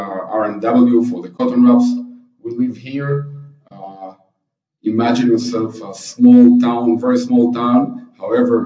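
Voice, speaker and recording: A man from Israel with an Israeli accent gives a conference presentation, halting with many fillers. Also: mid-range voice, warm tone. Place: conference hall. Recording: dull, echoey hall from far off.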